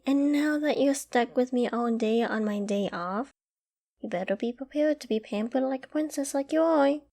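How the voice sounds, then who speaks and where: A doting young girlfriend, acted by a woman in a comforting ASMR roleplay. Her voice sits fairly high yet is very mellow and warm. She speaks tenderly and intimately, cooing with affection and gently teasing her partner. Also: creaky, heavily acted.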